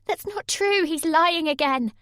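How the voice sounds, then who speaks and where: A woman's voice: unhurried, fairly bright tone, soft background music. A woman doing a tearful, pleading young woman. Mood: desperate. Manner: high, tearful, desperate pleading.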